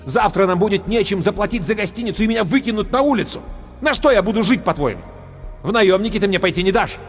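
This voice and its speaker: A man voicing an indignant young male rogue who shouts his complaints. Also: sits high, expressive, quick pace, voice pushed up. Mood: furious. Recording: reverberant with background music.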